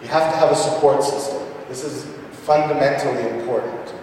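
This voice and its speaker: A man giving an introductory business seminar as a presenter, speaking haltingly with frequent pauses mid-sentence, in a large meeting hall. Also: mid-range voice, fairly even intonation, a little mellow. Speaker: presenter, small business owner. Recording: echoey hall, distant mic, noisy ambience.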